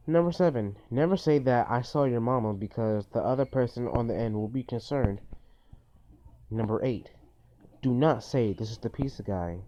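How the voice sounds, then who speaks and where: Male video host, dark mellow tone, earnest informal advice, dull lo-fi phone sound. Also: mid-range voice, a little slow, pauses often. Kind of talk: informal list-style advice monologue.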